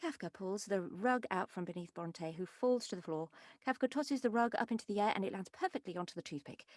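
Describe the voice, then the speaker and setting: A woman's voice: medium pitch, expressive, a little fast, a little mellow, dry recording. Woman reading stage directions, dry sound. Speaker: script narrator.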